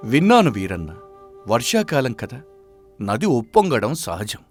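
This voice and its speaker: A man playing a philosophical old village father in a moral story, speaking in a measured, stoic, reassuring way. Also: mid-range voice, huge pitch swings, soft background music. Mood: calm, accepting.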